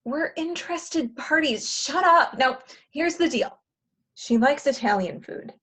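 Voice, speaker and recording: Actress as bossy, high-voiced female matchmaker. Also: lively intonation, bright, forceful tone, exaggerated voice. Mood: exasperated. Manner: brisk, sardonic, directive. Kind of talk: virtual table read of a comedy play.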